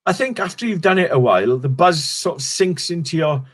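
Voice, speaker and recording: Middle-aged Welsh man, comic, higher voice, loose rambling storyteller. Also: lively intonation, a little fast, breathy edge. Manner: rambling, restarts sentences, swears casually. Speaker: stand-up comedian guest. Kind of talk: casual interview chat.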